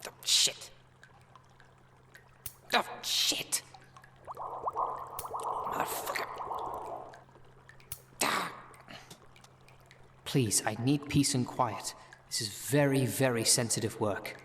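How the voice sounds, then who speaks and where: A man's voice: mid-range voice, drawn-out words, long pauses, sharp tone. A man acting as a wry, world-weary city witch in an ASMR audio roleplay, talking in a conversational, sardonic way. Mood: strained, irritated. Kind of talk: ASMR audio roleplay, one-sided dialogue. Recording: long reverb, noisy background.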